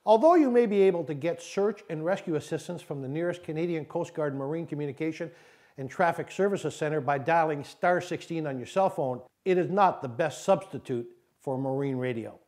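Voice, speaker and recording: A man reading scripted instructional narration in a measured, didactic way, as an instructor. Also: on the high side, darkish tone.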